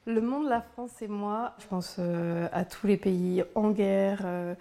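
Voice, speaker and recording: A woman's voice: mid-range voice, fairly even intonation, takes it slowly, vocal fry, loud music behind the voice. A woman giving an interview answer in a hesitant, reflective way.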